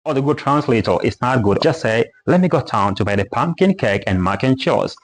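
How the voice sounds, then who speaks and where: A man teaching English pronunciation in a casual spoken lesson, explaining step by step and slowly repeating example words. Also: medium pitch, animated.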